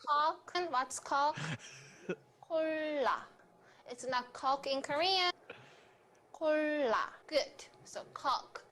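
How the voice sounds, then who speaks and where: A woman's voice: sits high, very slow, long pauses, fairly bright tone. A woman giving an instructional language lesson as a teacher, repeating words and short example phrases. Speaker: English teacher.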